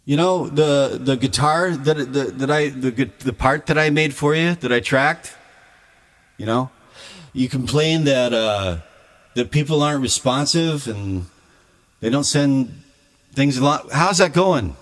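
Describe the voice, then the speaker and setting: Slow, rambling grumbling man. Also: medium pitch. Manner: rambling, stammering restarts; grumbling, aggrieved. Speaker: music streamer. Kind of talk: casual livestream chat.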